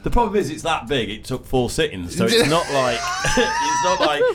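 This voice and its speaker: A man's voice: fairly high pitch, wildly swooping pitch, normal speed, very noisy. A middle-aged man from the north of England, co-hosting a radio show in a studio and telling rambling, jokey stories in casual banter. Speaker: radio co-host, station boss. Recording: music playing behind.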